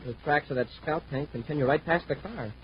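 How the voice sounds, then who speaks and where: An American actor playing an eager, respectful young space cadet sidekick in a vintage radio adventure serial. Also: male voice, on the high side, fairly quick, close to an everyday voice. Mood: eager, alert. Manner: eager, boyish, deferential. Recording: muffled, faintly noisy vintage radio recording.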